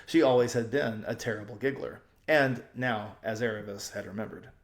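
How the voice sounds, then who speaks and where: A man's voice: lowish voice, normal speed. A man narrating a children's storybook as a storyteller, reading aloud and explaining things to young listeners. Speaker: storyteller, channel host.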